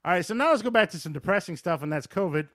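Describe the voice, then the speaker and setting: A middle-aged man hosting a podcast, telling casual anecdotes in an animated, excitable way with some swearing. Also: high-pitched, quick pace, no echo, studio quality. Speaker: podcast host.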